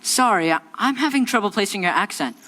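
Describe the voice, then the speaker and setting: A young actress playing a clumsy, cheerful young groom, breezy and chatty, who breaks into song on stage. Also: female voice, medium pitch, lively intonation, a little fast, sharp tone. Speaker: child actor in a musical.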